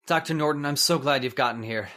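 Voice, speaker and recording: A man's voice: medium pitch, fast talker, sharp tone, lightly acted. A man acting an anxious, sceptical husband in an old-time radio horror play, earnest and insistent, stammering when shaken.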